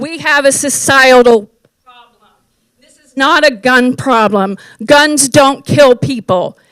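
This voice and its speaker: A woman from the US East Coast, speaking loudly and emphatically as a public commenter at a county board meeting. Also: fairly high pitch, smooth, no room sound, poor audio. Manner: loud, emphatic, forceful delivery.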